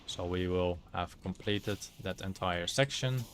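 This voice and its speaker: Low-voiced Dutch man narrating gameplay. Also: fairly bright tone, slight background noise. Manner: halting, many fillers, searches for words. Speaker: streamer. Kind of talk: casual gameplay commentary.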